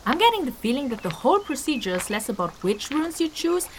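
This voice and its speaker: Cheeky squeamish adventurer girl, high voice all over the place, wry and casual, fantasy audio play. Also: very animated, fast, slight background noise, rough recording. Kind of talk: immersive fantasy audio drama acting.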